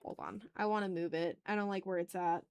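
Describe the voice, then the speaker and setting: A woman's voice: lowish voice, steady tone, a little fast, a little mellow, clear, resonant tone. A woman hosting an art livestream, chatting casually and muttering to herself in an informal, rambling way as she works. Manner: informal, mutters to self, mild swearing. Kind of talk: casual rambling chat while drawing.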